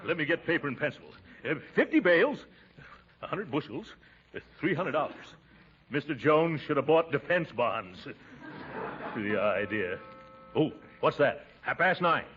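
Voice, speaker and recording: A man playing a pompous, blustery middle-aged uncle in a 1940s radio comedy, with drawn-out, exclaiming reactions. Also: on the high side, animated, a bit bright. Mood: indignant. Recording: dull, hissy 1940s radio recording.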